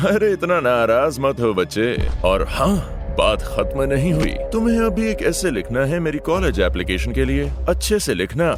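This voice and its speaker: A man's voice: medium pitch, sing-song, moderate pace, natural voice. Man voicing a menacing, sneering teenage bully. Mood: smug, threatening. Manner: taunting, threatening. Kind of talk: animated story voice acting. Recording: music bed behind the voice.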